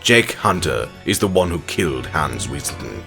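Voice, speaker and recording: Very deep, pompous villain professor. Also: male voice, animated, moderate pace, gravelly, over music, heavily acted. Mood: smug, triumphant. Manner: theatrical, condescending, grandiose. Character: pompous, scornful villain professor arguing as prosecutor. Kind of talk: audio drama acting.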